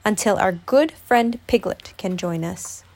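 A woman voicing a gentle, easygoing male toy bear in a children's story, with mild, polite, naive phrasing. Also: mid-range voice, unhurried. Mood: politely determined.

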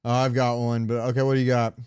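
Smooth-voiced man in casual gaming chat. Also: mid-range voice, flat delivery, fast talker, darkish tone. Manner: slangy, profane. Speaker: podcast co-host.

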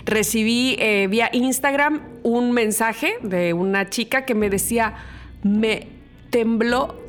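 A woman's voice: on the high side, takes it slowly, soft background music. A woman from Mexico, hosting a podcast in a chatty, cheerful manner. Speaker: podcast host. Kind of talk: casual chat.

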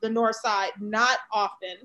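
An American woman from Chicago chatting casually, with an expressive, informal way of talking that leans on slang and swearing. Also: on the high side, a little slow. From Chicago, United States. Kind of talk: casual chat.

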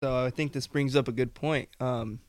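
A man co-hosting a podcast in casual faith discussion, earnest and rambling, his voice going hoarse. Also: medium pitch, steady tone, normal speed, dry recording. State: losing his voice, coughing. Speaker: podcast co-host.

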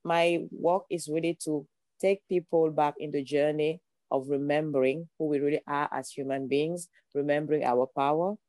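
A woman's voice: sits low, fairly even intonation, normal speed, warm tone, no room sound. A young woman from Cameroon with a Cameroonian accent, speaking as a podcast guest, earnest and reflective, building her points through repeated phrases. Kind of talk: podcast interview.

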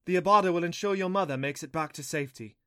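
A man voicing a kindly, solemn female magical being in a storybook, measured and assured. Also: on the high side, pushed and bright, dry recording, studio quality, voice pushed up.

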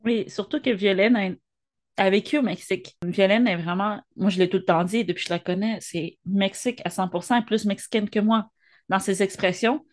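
Woman co-host, mid-pitched, French with a Spanish tinge, earnest, stumbles into sentences. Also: a little fast, darkish tone. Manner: earnest, repeats words when starting sentences. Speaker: podcast co-host. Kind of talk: casual conversation.

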